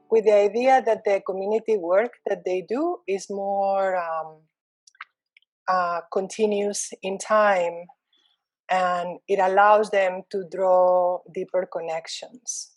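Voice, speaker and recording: A middle-aged woman speaks in English in a reflective, unhurried way, pausing on ums and restarting phrases as she thinks. Her voice sits at a medium pitch. The recording is dry and close, with no room sound. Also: darkish tone, dry recording, low-quality recording. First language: Spanish. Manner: thoughtful, frequent ums and restarts. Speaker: university Spanish lecturer. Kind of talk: reflective interview talk.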